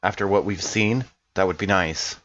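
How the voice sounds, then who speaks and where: A man's voice: sits low, moderate pace, fairly bright tone, dull audio. A man playing a tabletop game, chatting casually and improvising as his character. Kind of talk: casual improvised role-play chat.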